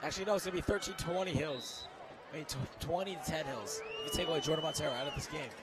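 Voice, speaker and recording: A young man with a hoarse, strained voice doing excitable live play-by-play commentary outdoors at a football game. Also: fairly high pitch, fast. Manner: excitable, shouts on big plays. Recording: loud outdoor stadium crowd noise.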